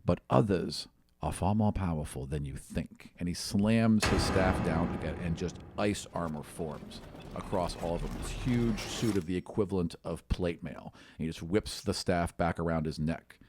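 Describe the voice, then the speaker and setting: A man's voice: sits low, normal speed, darkish tone, husky. A man improvising narration as game master of a tabletop fantasy game, descriptive and conversational, often searching for words.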